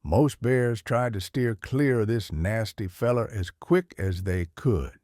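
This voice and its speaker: A man with a Southern US accent reading a children's storybook aloud in a folksy, expressive way. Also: lowish voice, slow pace, no room sound, clean studio sound. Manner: folksy, animated.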